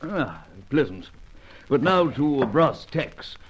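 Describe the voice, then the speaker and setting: An actor playing a genial, flustered spymaster with an upper-class British accent, blustering and repeating himself, in old-time radio adventure style. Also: male voice, mid-range voice, big pitch swings, slow pace, slightly rough, heavily acted. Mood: businesslike, curious. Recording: dull, muffled old radio recording.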